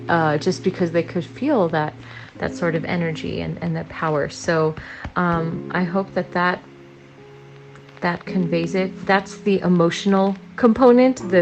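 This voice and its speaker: A woman's voice: on the low side, poor audio. A woman leaving a casual voice message, rambling and hesitant with many fillers as she describes what she wants. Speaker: guest. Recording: music playing under voice.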